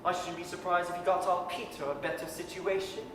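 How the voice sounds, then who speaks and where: An actor playing a kindly, grieving father, earnest, tender and halting, in a staged 1930s radio drama. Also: sits high, level tone, natural voice. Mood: grateful wonder. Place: performing arts center stage. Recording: echoey hall from far off, noisy.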